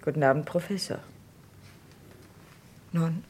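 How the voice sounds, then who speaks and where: An actress playing a cool, barbed wife who is a wealthy doctor, with clipped, pointed delivery in a vintage crime radio play. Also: female voice, low-pitched, takes it slowly, a little mellow, a little hiss or hum. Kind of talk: vintage German crime radio drama acting.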